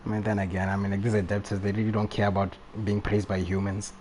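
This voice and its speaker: A man's voice: lowish voice, little pitch movement, fast talker. A man doing casual live game commentary, thinking aloud as he reacts to the story. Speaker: game livestreamer. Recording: faint noise and game ambience behind. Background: video game audio.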